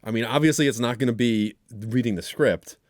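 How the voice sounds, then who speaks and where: A man co-hosting a podcast in casual banter, talking in halting, repeated phrases. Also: medium pitch, no room sound, studio quality. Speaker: podcast co-host.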